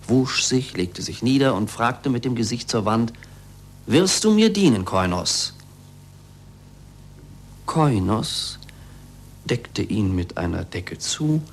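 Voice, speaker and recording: Earnest pupil, mid-pitched male voice. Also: creaky. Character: Andrea, earnest male pupil telling a parable. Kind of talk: 1940s German radio play storytelling. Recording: faint noise and hum, vintage radio.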